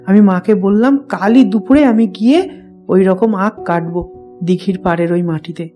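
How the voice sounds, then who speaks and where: Innocent village child played by a woman, mid-pitched, mellow and unforced, simple earnest storytelling for horror audio drama, light music underneath. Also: normal speed, natural voice. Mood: wistful, trusting. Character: innocent young village boy confiding eerie dreams of his dead mother. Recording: music bed behind the voice.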